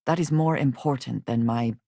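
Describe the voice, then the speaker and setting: A woman voicing a guarded, earnest and loyal space companion in a video game, speaking formally and precisely in measured phrases. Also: extremely low pitch, dark tone, no room sound, studio quality. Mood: solemn, resolute. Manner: formal, measured, precise diction without contractions.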